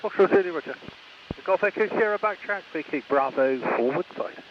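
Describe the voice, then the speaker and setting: Quick higher-voiced man on aviation radio. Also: expressive. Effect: aircraft radio. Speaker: airfield radio operator. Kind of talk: clipped aviation radio exchanges. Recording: dull lo-fi radio with cockpit noise. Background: aircraft noise.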